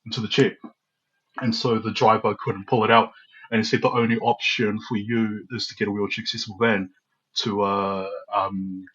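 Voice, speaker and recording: Man, young adult, from New Zealand, podcast guest, animated storyteller, breathy low voice, dull lo-fi sound. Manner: lively storyteller who acts out his own quotes. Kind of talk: casual storytelling interview.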